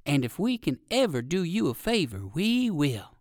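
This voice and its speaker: Man voicing a friendly, lively mother catbird. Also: a little high, moderate pace, no echo, clean studio sound. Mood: friendly, proud.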